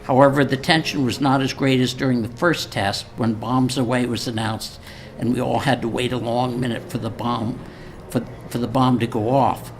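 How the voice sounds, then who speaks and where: Older male, mid-pitched, informal public speaker and halting reader, live recording with faint noise. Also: steady tone. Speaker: speaker at a memorial event. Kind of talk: informal speech with reading from a letter. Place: live event before an audience. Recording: live event recording with noticeable background noise.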